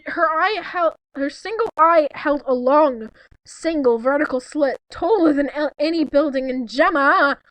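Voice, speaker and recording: High-voiced young woman reading aloud casually. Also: measured pace, a bit bright, dry recording, low-quality recording. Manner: informal, incredulous, breaks off into asides. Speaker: co-host reading fan fiction aloud. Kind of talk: casual read-aloud with mocking commentary.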